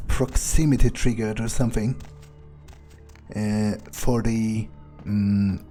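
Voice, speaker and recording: A man acts out a sardonic, wary male vampire in a video game, thinking aloud in a low, mellow voice. He mutters very slowly, crude and hesitant, pausing as he muses. Faint music plays behind him. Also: lightly acted. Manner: muttering, hesitant, musing with pauses and swearing. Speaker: gamer playing in character.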